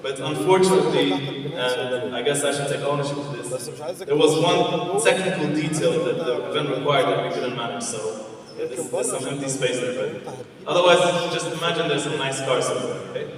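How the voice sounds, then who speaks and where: A man's voice: medium pitch, fairly quick. A man in his twenties from Afghanistan, hosting a conference on stage and working the crowd with questions. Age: 20s. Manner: energetic, engaging the audience with questions. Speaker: event host. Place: large convention hall. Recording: echoey hall, far from the mic.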